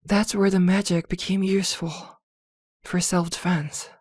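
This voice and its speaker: A woman playing a possessive, protective witch girlfriend in an intimate ASMR roleplay, with a soft, coaxing murmur. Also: lowish voice, little pitch movement, measured pace, husky, no echo, studio quality. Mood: wistful.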